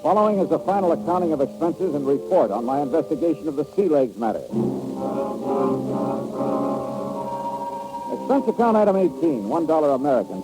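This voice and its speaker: An actor playing a tough, wry insurance investigator in a 1950s radio detective drama, blunt and sardonic in delivery. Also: male voice, a little high, lightly acted, low-quality recording. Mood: brisk, businesslike.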